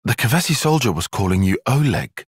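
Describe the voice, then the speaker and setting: An earnest young strategist for a video game cutscene. His phrasing is formal and exact, with animated pitch swings and a slightly husky edge. The recording is very dry, with no room around it. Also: fairly quick, no echo. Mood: composed, analytical. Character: analytical, reserved young male military strategist, earnest and proper.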